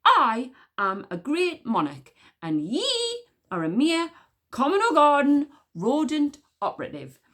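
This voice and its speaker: A woman doing a haughty, shrill warrior queen. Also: huge pitch swings, slow, a bit bright, exaggerated voice. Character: imperious fierce queen, older woman.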